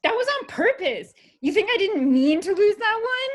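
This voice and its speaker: A woman's voice: extremely high pitch, sing-song, moderate pace. A woman playing a cocky, reckless young miner in a fan fiction table read over a video call.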